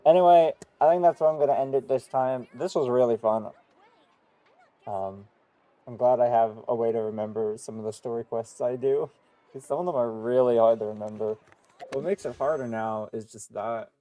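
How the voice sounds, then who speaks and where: A man's voice: mid-range voice, moderate pace, dark tone. A man giving casual live commentary as he streams a game, easygoing, with muttered asides.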